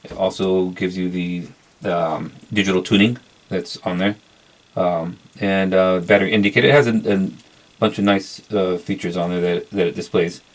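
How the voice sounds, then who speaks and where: Low-pitched, monotone man reviewing gear. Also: moderate pace. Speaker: product reviewer. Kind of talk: casual unboxing review.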